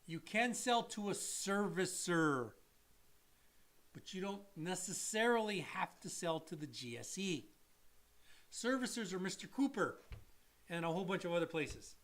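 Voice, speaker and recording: A man's voice: high-pitched, animated, unhurried, fairly bright tone. A man who works as a mortgage loan officer, co-hosting a casual chat and explaining loans to viewers in an emphatic, blunt way and repeating his key points.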